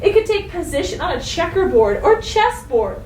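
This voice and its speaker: A woman schoolteacher giving a read-aloud lesson to young pupils, speaking instructively and directly, with expressive emphasis. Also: very high-pitched, moderate pace. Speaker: elementary school teacher. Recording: roomy, distant mic with faint noise.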